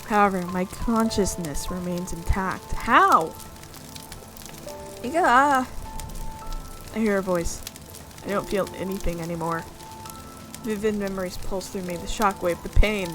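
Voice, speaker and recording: A woman hosting a gaming video, reading a visual novel aloud in an expressive way with exclaimed reactions. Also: mid-range voice.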